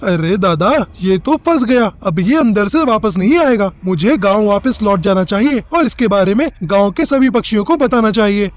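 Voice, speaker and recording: A man's voice: high-pitched, animated, normal speed, few pauses. A man voicing a cautious, earnest male pigeon in a cartoon, speaking emphatically. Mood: alarmed. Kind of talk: animated moral tale acting.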